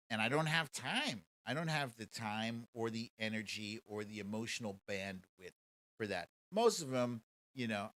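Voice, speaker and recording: American male livestream host, colloquial and mocking, lively pitch swings, leisurely pace, dry close sound. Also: no echo. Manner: chatty, colloquial, mocking, laughs easily. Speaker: host of a live commentary show. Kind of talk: casual livestream commentary, reading and answering viewer questions.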